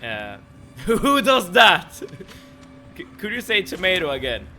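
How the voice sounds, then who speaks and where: High, bright-voiced streamer man. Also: wildly swooping pitch, slow pace, faint music behind. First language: Swedish. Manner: chatty, stammers and repeats words, laughs often. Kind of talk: casual chat while playing a game.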